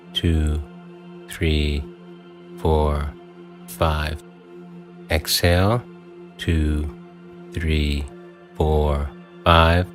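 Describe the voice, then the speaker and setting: A man with an extremely low voice talks a listener through a guided meditation, counting each breath. He speaks softly and unhurriedly, with long pauses. Faint new-age music plays behind him. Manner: calm, soft, unhurried instructions with long pauses.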